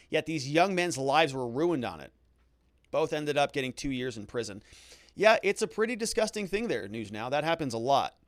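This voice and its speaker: A man's voice: mid-range voice, no room sound. A middle-aged American man hosting a livestream, reading out viewer comments and adding wry, conversational commentary. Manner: wry, conversational, joking asides. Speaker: livestream host and legal commentator.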